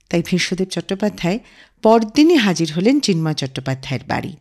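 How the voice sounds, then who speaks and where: A woman's voice: medium pitch, darkish tone. A woman hosting a radio show, narrating a scripted biographical story. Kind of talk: scripted storytelling narration about a singer's life.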